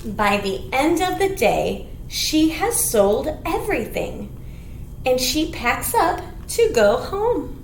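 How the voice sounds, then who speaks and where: A woman's voice: high-pitched, very animated, slow. A woman leading a storytime for small children as a librarian, reading aloud and singing in simple, sing-song phrases. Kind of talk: children's storytime read-aloud with songs and rhymes. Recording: echoey room, clear background noise.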